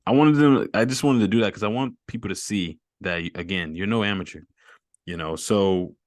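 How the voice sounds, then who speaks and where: A deep-voiced man hosts a relaxed interview over a video call. He talks with upbeat encouragement and easy slang. The recording is dry, with no room sound. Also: no room sound. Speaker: host.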